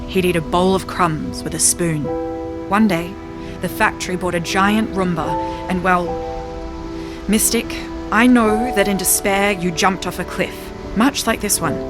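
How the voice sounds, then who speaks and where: A woman's voice: lowish voice, moderate pace, natural voice. A woman playing a wise, self-assured talking poodle prophet in a comedic audio drama, speaking with grand, kindly earnestness. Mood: earnest storytelling. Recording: music behind.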